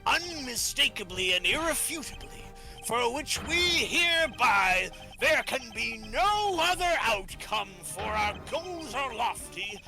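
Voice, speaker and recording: A man voicing a pompous president in a video game fan dub, with florid, drawn-out oratory. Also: very high voice, very animated, over music, voice pushed up, exaggerated voice, low-quality recording. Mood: grandiose. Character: pompous grandiose male president, bombastic politician. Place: hobby live-streamed voice session.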